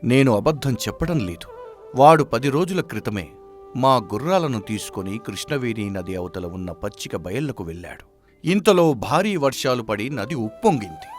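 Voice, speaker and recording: A man playing a philosophical old village father in a moral story, speaking in a measured, stoic, reassuring way. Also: mid-range voice, sing-song, soft background music, lightly acted. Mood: earnest.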